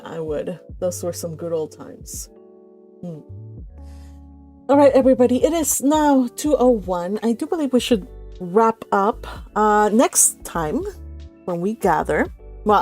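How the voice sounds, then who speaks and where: A woman's voice: mid-range voice, a little mellow. A woman hosting an online read-along, chatting casually and giggly, with rambling anecdotes. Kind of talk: casual group call chat. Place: online voice call.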